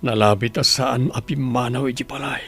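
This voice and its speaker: A man acts out an anguished Ilocano husband in a radio drama. His mid-pitched voice is full of fry, and he speaks in a pleading, remorseful way over faint music. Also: fairly even intonation, moderate pace, fairly bright tone. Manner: pleading, prayerful.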